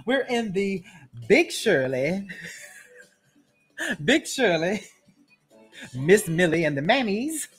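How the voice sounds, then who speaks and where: A woman's voice: medium pitch, big pitch swings, takes it slowly, pauses often, slightly rough, faint music behind. A woman giving casual, profane roast commentary as a show host, brash and mocking, rambling and repeating her phrases.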